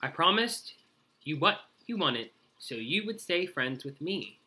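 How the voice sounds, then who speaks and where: Reader voicing an anxious, bright-voiced storybook groundhog. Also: mid-range voice, sing-song, measured pace. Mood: apologetic. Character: anxious people-pleasing male groundhog, apologetic and earnest. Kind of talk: children's storybook character voice.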